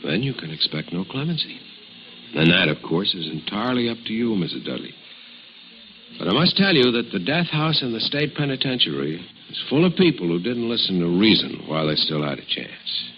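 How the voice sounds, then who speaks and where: An actor playing a stern, pressing deputy district attorney in a radio drama, speaking formally and forcefully with deliberate phrasing. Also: male voice, lowish voice, very animated. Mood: threatening, pressuring. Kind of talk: 1950s radio drama acting. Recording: muffled, noisy old radio recording.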